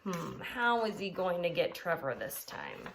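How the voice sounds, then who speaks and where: A woman's voice: fairly low pitch, sing-song, unhurried, creaky, fairly bright tone, a little hiss or hum. A woman elementary teacher explaining simply to children and reading aloud, with emphasis and rhetorical questions. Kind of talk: children's lesson and expressive storybook read-aloud.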